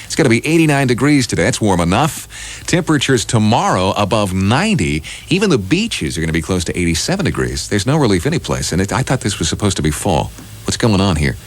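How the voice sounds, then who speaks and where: A man's voice: lowish voice, sing-song, fast. A man hosting morning radio with upbeat, folksy, joking patter. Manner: chatty, folksy, jokey. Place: radio studio. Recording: 1980s radio aircheck over music. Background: music.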